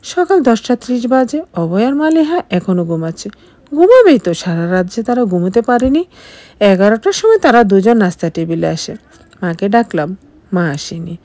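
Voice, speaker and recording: A woman's voice: mid-range voice, warm tone, dry recording. A woman reading a scripted, emotional first-person story in a dramatic, melodramatic manner. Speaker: story narrator.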